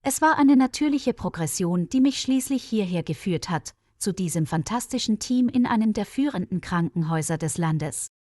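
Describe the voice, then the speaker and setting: Woman voicing an earnest, calm male nurse. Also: mid-range voice, normal speed, hardly any pauses, dry recording, clean studio sound, lightly acted. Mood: earnest, reflective. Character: dedicated, modest, experienced male nurse.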